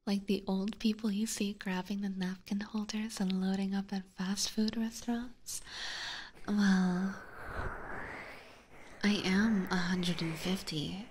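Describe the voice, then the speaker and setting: A woman with a Californian accent doing playful ASMR chat in a breathy whisper, close to a binaural microphone. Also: medium pitch, steady tone, slow, darkish tone, slight background noise. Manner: playful, teasing, giggly. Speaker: ASMR streamer playing a vampire catgirl. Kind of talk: ASMR, casual chat with live viewers. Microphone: close binaural ear microphone.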